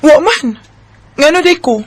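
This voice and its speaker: A woman's voice: high-pitched, animated, measured pace. A woman playing an emotive, teasing young woman secretly in love with her best friend in a Bisaya radio drama. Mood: wounded, defensive. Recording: faint background noise.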